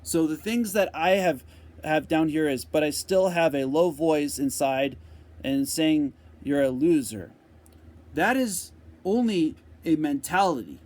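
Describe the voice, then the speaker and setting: A man giving an unscripted motivational talk, speaking slowly and deliberately with repeated phrases, answering a viewer's question. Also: on the high side, animated, darkish tone. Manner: slow, deliberate, repetitive phrasing.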